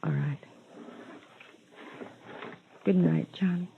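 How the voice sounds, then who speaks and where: An actress playing a frightened, pleading young secretary in a 1950s radio melodrama, with a breathy, hesitant delivery. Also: female voice, on the low side, fairly even intonation, very slow, faint music behind, exaggerated voice. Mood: reluctant. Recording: tinny, roomy vintage radio recording.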